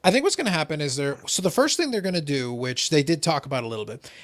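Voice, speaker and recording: A man hosting a casual hobby talk show, explaining in an animated way, often repeating a phrase as he starts. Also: a little high, fast, no echo. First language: not English. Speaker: podcast host.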